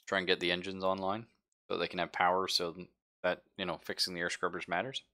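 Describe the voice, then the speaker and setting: A man acting as game master in a tabletop roleplaying game, describing things conversationally and haltingly as he thinks aloud. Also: low-pitched, little pitch movement, sharp tone. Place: live-streamed game session.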